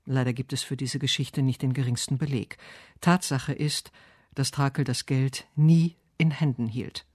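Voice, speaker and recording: A man reading scripted narration and verse for a literary radio essay, measured and solemn. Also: mid-range voice, steady tone, slightly rough, dry recording, clean studio sound.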